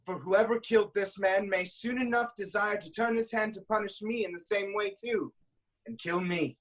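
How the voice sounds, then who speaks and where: A man playing a proud, commanding king of ancient Thebes in a staged reading of Greek tragedy, declaiming deliberately. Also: sits high, steady tone. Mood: resolute.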